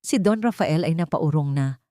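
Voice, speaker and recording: A woman tells a dramatic scripted story as its narrator. Her voice sits fairly low. The recording is dry, with very little room sound. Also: expressive, normal speed, dark tone, dry recording.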